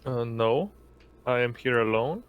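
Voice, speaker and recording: A man's voice: medium pitch, expressive, a little slow, clear, resonant tone, phone-quality sound. A man playing a tabletop role-playing game, speaking casually and hesitantly as he searches for words. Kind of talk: casual improvised role-play.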